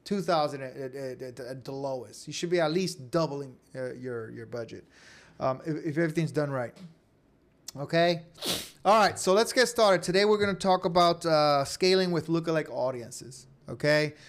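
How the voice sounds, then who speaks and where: Male online course instructor, voice a touch high, conversational tutorial delivery with frequent check-ins. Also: moderate pace. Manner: conversational, repeatedly checks in with "okay?" and "right?". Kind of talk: informal screen-share tutorial.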